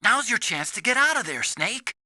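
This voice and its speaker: An actor voicing a timid, soft-hearted young male scientist in a video game, earnest and anxious as he explains things. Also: high-pitched, very animated, normal speed, dry recording, full character voice. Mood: brisk.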